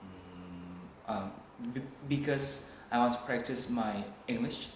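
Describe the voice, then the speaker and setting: A young man with a Vietnamese accent answers test questions very slowly, stopping often on fillers and restarting his words. His voice echoes in the room, with faint noise behind it. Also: on the low side. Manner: hesitant, slow, many fillers and restarts. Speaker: student test taker.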